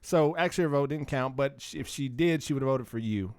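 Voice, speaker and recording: A man's voice: mid-range voice, a little fast, dry recording, studio quality. A man hosting a comedy podcast in casual, bantering talk. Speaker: podcast host.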